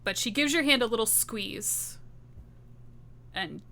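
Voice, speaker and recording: Female tabletop player, bright forceful voice, dramatic and emphatic storytelling. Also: on the high side, expressive, slow pace. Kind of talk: narrating her character's actions.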